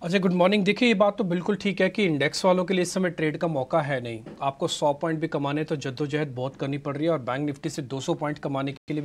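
Sex: man. Role: market analyst. Pitch: somewhat high. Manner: instructive, addresses viewers directly. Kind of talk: technical chart analysis on live TV. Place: TV news studio. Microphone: lapel mic.

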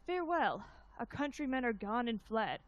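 A woman's voice: on the high side, very animated, normal speed, no room sound, lo-fi sound. A woman playing a grim, superstitious army captain, reciting verse in a declamatory way. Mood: foreboding.

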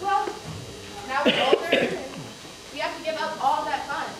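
An actress plays a vain, playful young woman with a high voice and a slangy, teasing way of talking. It is stage-play acting, heard from far off in a big echo with loud noise all over it. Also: moderate pace, natural voice. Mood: boastful, playful. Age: young adult. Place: theater stage, filmed from far camera. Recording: very echoey, loud noise, distant stage recording.

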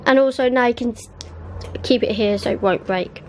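A woman's voice: on the high side, moderate pace, a little hiss or hum. A woman, chatting in a rambling, giggly way while vlogging from a bedroom at night. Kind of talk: casual chat. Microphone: handheld camera.

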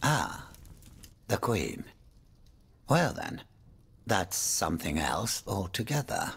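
Urbane French aristocrat, husky voice, animated swooping pitch, courtly, wry, world-weary, video game drama. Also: male voice, slow pace, heavily acted. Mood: wry, wary. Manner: theatrical, courtly, swinging between exclamation and confiding murmur.

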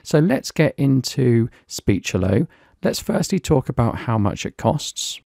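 British man, gravelly, dry close mic, walk-through tutorial read. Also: medium pitch, big pitch swings, measured pace, a little mellow, dry recording. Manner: plain, explanatory, lightly chatty. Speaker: software reviewer. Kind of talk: screen-recorded software tutorial.

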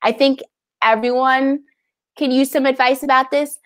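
High-voiced, effusive woman hosting. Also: steady tone, moderate pace, smooth. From New York City. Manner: effusive, chatty. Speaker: library event host, interviewer. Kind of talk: casual video-call interview, reading audience questions. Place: indoors on a video call.

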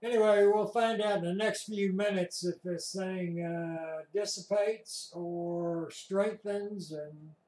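In an echoey room, a man with a fairly high voice makes casual commentary. His delivery is slow and offhand, as an amateur radio operator following along with a net. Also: steady tone, a little mellow.

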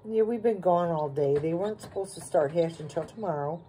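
Woman, low mellow voice, casual folksy home-video narrator, some background noise. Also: brisk. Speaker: farm vlogger. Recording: noisy home phone recording.

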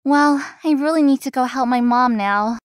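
Casting: actress for sweet, hardworking daughter in a romance visual novel, high voice, dry recording. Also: female voice, normal speed, no echo, lightly acted. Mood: reflective. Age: young adult. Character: dutiful, gentle young working daughter, playful friend.

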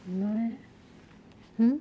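A woman playing a soft-spoken, flirtatious church sister in a comedy sketch, slow and syrupy. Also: on the high side, muffled recording, slight background noise. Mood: flirty, sympathetic. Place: indoors by a door.

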